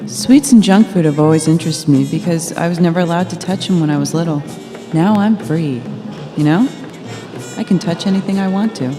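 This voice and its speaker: A woman reading a blunt, teasing girlfriend in a scripted audio story, casual and matter-of-fact. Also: low voice. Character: Freya, blunt, teasing, observant girlfriend in passenger seat. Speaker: reader of the girlfriend's part in a novel reading. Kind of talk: scripted audio-story dialogue over music. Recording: long reverberation under music. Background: music.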